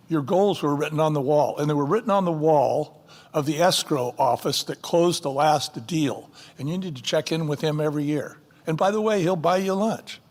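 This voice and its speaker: A man's voice: fairly high pitch, long reverb tail. An older man, a company co-founder, speaking emphatically in an interview like a seasoned salesman. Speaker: co-founder guest. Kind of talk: interview, persuasive pitch.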